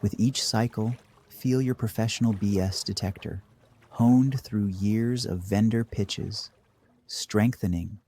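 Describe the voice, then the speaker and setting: A man narrating a scripted guided meditation in a measured, dry deadpan manner. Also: on the low side.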